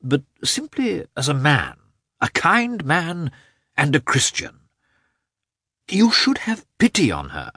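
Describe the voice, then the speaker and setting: Man acting a high, pleading, excitable gentleman. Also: slow pace, pauses often, husky, no echo, studio quality, exaggerated voice. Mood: earnest pleading. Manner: emphatic, imploring, effusive. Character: earnest, pleading, excitable gentleman begging for pity. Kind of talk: audiobook character voice.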